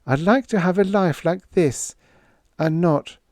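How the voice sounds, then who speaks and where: A man's voice: high-pitched, a little slow, darkish tone, dry recording, studio quality, voice pushed up. A man voicing a wistful, dutiful young princess for an audiobook, in an earnest, plaintive delivery. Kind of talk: audiobook character voice.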